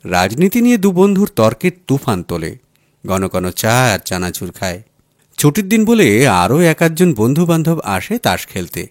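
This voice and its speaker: A man's voice: mid-range voice, very animated, normal speed, dry recording, studio quality. A man reading a literary story aloud as a dramatised audiobook narration. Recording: music playing behind the voice. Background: music bed.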